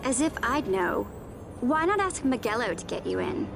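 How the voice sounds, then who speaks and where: A woman's voice: fairly high pitch, sing-song, normal speed, gravelly, background noise. A woman playing a sensible, teasing teenage girl in a video game, chiding and playful in a natural way.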